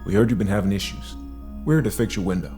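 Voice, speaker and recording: A man's voice: on the low side, animated, fast talker, close to an everyday voice. A man playing a friendly, matter-of-fact company representative in an audio drama, businesslike and easygoing. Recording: music under the voice.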